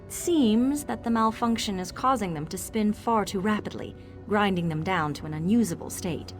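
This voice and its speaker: A woman plays a brisk, self-assured engineer from a fantasy clockwork city. Her voice sits at a middle pitch and she explains things with precise, technical confidence, as in video game quest dialogue. Soft music plays underneath. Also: lightly acted. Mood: confident, explanatory.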